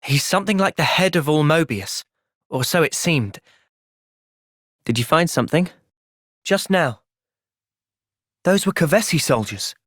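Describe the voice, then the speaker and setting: A man voicing an earnest, thoughtful young fighter in a video game, measured and sincere but capable of impassioned intensity. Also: fairly high pitch, animated, a bit bright, no echo, clean studio sound. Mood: calm, earnest. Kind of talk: video game cutscene voice acting.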